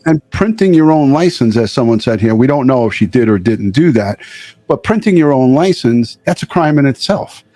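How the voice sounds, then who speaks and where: A man's voice: medium pitch, expressive, moderate pace, warm tone, no echo. A man hosting a live true crime commentary, speaking bluntly and with strong opinions. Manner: blunt, swearing.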